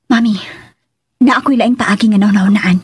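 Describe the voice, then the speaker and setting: A young actress playing a coy, bold, lovestruck daughter in a radio drama. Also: female voice, medium pitch, moderate pace, breathy edge.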